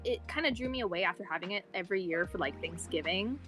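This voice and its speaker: A woman's voice: mid-range voice, lively intonation, quick pace, music in the background. A woman guest in a casual chat, rambling along and stacking up fillers like "okay" as she explains herself.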